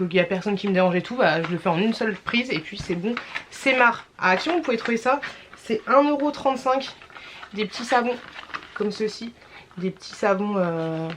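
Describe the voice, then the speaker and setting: A woman's voice: medium pitch, background noise. A young woman with a cold, talking casually to her vlog audience in a tired, stuffy voice, rambling with many fillers and restarts. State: has a cold. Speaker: vlogger.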